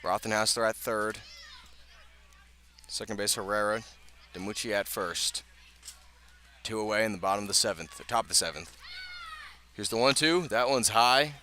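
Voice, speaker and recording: A man's voice: on the low side, normal speed, long pauses, bright, forceful tone, a little hiss or hum. A man doing live radio play-by-play of a baseball game as the announcer. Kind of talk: live radio sports commentary. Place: outdoor ballpark broadcast position.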